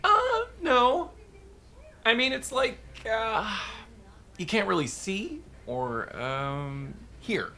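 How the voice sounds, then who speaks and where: A woman's voice: medium pitch, big pitch swings, very slow, bright, forceful tone, exaggerated voice. A woman acting as a nervous parent in a naturalistic drama, hesitant and prone to stammering. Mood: awkward, gentle. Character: nervous, halting parent, a struggling musician called Dad.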